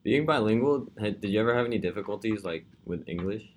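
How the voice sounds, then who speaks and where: A man's voice: on the low side, expressive, fast. A young man co-hosting a casual podcast, putting in brief slangy remarks and questions. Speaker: podcast co-host. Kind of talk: casual chat. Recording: faint background noise.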